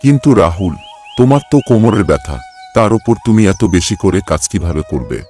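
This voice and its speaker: Man acting a very deep-voiced village boss. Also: big pitch swings, fairly quick, darkish tone, faint music behind. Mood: concerned. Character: male employer, businessman boss, concerned and patronising. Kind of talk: Bengali cartoon story voice acting.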